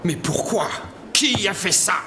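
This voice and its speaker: Commanding, swooping cartoon warrior. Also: male voice, high voice, lively intonation, measured pace, background noise. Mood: grave, indignant. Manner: dramatic, imperious. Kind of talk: French-dubbed 1990s cartoon acting. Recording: loud music behind cartoon dialogue.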